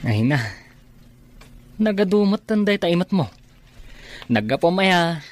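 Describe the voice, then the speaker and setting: A man's voice: sits high, big pitch swings, unhurried. An actor playing a friendly, concerned male buddy in a radio comedy drama. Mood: friendly, helpful.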